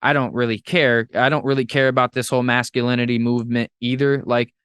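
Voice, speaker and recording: A young man hosting a podcast in casual chat, talking in an animated, rambling way with playful jumps into a high voice. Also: quick pace, clean tone, no echo. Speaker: podcast host.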